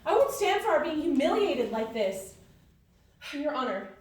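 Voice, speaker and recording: A woman's voice: high voice, sing-song, moderate pace, darkish tone. A woman playing a proud, defiant young woman, forthright and indignant, in a live radio play. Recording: echoey hall, far from the mic.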